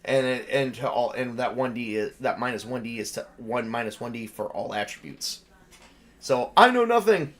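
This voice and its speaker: A man's voice: mid-range voice, expressive, moderate pace, fairly bright tone. A man acting as game master, narrating and improvising a tabletop game in a casual, profane, informal way.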